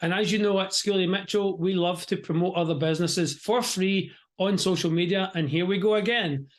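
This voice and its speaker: A man's voice: high voice, normal speed. Chatty European-born man hosting a video call. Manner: chatty, repeats words and restarts often. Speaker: interview host. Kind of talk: casual virtual interview.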